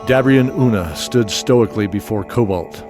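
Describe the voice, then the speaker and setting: A man's voice: fairly low pitch, moderate pace. A man reading scripted narration as the narrator of an audio fiction show. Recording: clear music bed behind voice.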